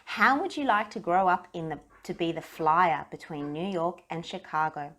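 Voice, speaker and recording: A woman's voice: on the low side, normal speed, a bit bright. Casting: old storybook schoolmaster engineer, kindly, instructive, voiced by a woman. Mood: admiring.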